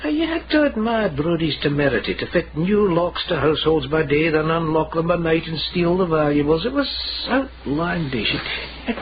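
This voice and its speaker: An actor playing a weary, kindly old Scottish coffin maker in a radio drama, with halting, wryly morbid talk. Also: male voice, on the high side, lively intonation, close to an everyday voice. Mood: admiring, amused. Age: older. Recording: dull, noisy radio drama recording.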